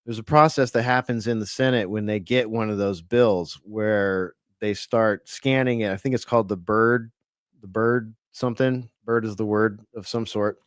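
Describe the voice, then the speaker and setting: A man's voice: fairly low pitch, steady tone, normal speed, smooth, no echo, clean studio sound. A man co-hosting an online talk show, chatting casually about politics with lots of fillers and restarts. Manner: rambling, hesitant, many fillers. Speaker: podcast co-host.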